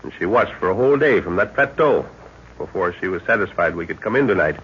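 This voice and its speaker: An actor playing a calm, authoritative middle-aged father leading a jungle expedition in a 1930s radio adventure, speaking in a measured, commanding way. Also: sits low, husky, full character voice. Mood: matter-of-fact. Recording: muffled, noisy 1930s radio recording.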